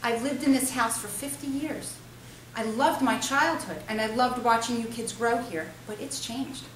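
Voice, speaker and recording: A woman voicing a brisk, no-nonsense middle-aged mother in a storybook, scolding and direct. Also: a little high, natural voice. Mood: wistful. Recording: roomy, distant, faintly noisy.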